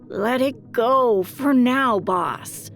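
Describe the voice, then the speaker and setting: A sassy detective's secretary played by a woman, with a fairly high voice and an unhurried drawn-out delivery. She is frank, teasing and sarcastic in noir radio-play style. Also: a bit bright, soft background music. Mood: matter-of-fact. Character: sassy, blunt female secretary of a private detective. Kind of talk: noir audio drama acting.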